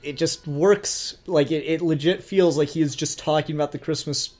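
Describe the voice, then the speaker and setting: A man's voice: fairly high pitch, fairly even intonation, moderate pace, a little mellow. A man talking casually as a podcast host, explaining his opinions in an earnest, enthusiastic way. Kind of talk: casual review discussion.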